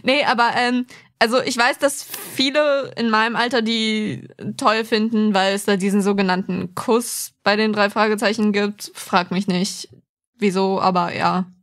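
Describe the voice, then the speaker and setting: A woman's voice: medium pitch, steady tone, a little slow, fairly bright tone. A woman co-hosting a podcast, chatting informally and bluntly. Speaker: podcast co-host. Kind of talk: casual chat.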